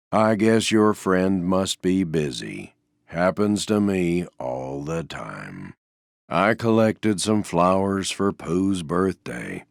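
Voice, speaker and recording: A man voicing a gloomy, resigned but gentle stuffed donkey in a video game, with a gravelly drawl and a glum, deadpan, understated manner. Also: low-pitched, dry recording, studio quality, heavily acted. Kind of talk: video game character voice lines.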